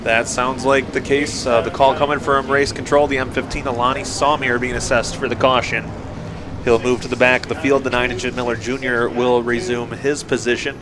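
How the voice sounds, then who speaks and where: A man with a dry, measured announcing manner, giving live stock car race commentary. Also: medium pitch, flat delivery. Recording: loud vehicle noise behind. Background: race cars and trackside noise.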